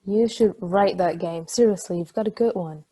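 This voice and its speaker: A woman's voice: fairly low pitch, moderate pace, husky. A woman playing a playful, chatty married ex-athlete in a casual, conversational stage play table read. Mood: playful, enthusiastic. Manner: casual, swearing, animated storytelling.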